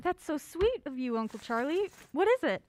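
A woman's voice: high-pitched, sing-song, normal speed, a little mellow, a little hiss or hum. An actress playing a polite, affectionate young niece in an audio drama, eager and curious in her delivery. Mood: grateful.

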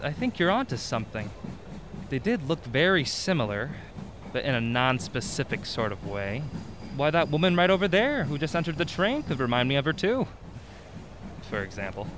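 Polite male sidekick acted by a man, hesitant, wordy, animated intonation, audio drama, clear background noise. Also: mid-range voice, moderate pace, natural voice. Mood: wry. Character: hesitant, polite, wry travelling companion of the heroine.

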